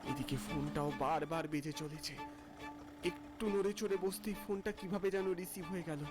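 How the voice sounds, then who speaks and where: A man playing a high-voiced, haunted horror-story narrator. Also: fairly even intonation, moderate pace, over loud music, natural voice. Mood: dread, narrating. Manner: dramatic, stammering when afraid. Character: frightened man narrating his own ghostly night ride. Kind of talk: scripted first-person horror storytelling.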